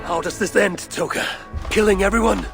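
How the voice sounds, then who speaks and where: An actor playing a blunt, determined Norse warrior with terse, resolute delivery in a dramatic video game. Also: male voice, high voice, fairly even intonation, normal speed, gravelly, over music. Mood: urgent. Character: determined, blunt Norse raider warrior seeking to end bloodshed.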